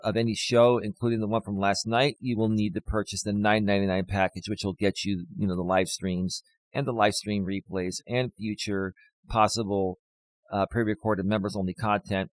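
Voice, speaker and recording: A man hosting his own live stream as a life coach, talking casually to viewers with a slightly hoarse voice and lots of fillers. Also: fairly low pitch, flat delivery, moderate pace, no echo, poor audio. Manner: rambling, many fillers, talks straight to viewers.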